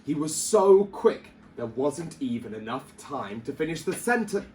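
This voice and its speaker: Man reading expressively, slow and animated. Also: fairly high pitch, slight background noise. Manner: theatrical, emphatic storyteller delivery. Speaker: primary school teacher reading storytime. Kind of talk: expressive children's story reading.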